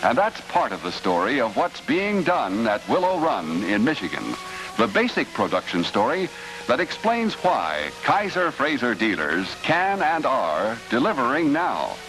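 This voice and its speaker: A man's voice: medium pitch, huge pitch swings, a little slow, low-quality recording. A man narrating a mid-century promotional film in a scripted announcer style. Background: music.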